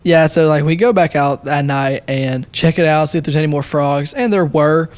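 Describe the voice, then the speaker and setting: Higher-voiced young man telling stories. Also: moderate pace. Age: young adult. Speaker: podcast guest. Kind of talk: casual storytelling.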